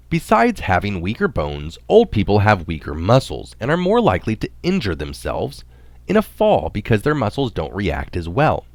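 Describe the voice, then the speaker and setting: Low-voiced man reading, very animated intonation. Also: no room sound. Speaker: reader of a health article. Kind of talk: scripted article narration, read aloud.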